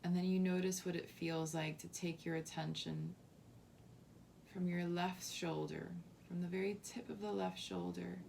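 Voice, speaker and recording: A woman leading a guided body scan meditation as a teacher, softly and calmly, with unhurried phrasing and long pauses. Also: lowish voice, creaky, slight background noise. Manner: soft, unhurried, calming instruction with long pauses.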